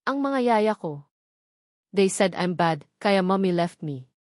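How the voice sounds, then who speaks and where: A woman's voice: medium pitch, animated, takes it slowly, a little mellow, no echo, studio quality. A woman with a Filipino accent voicing an affectionate, inquisitive little boy in a story, with childlike phrasing. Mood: wistful. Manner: mixes English and Tagalog, childlike phrasing. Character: little boy, affectionate, inquisitive, wistful about his mother.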